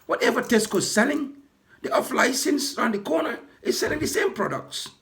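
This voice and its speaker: A man who works as a ride-hailing driver, hoarse from losing his voice, delivering an indignant, repetitive rant to camera for his vlog. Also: very high-pitched, expressive, a little fast, fairly bright tone. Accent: British. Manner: indignant, repetitive, building to impassioned outbursts.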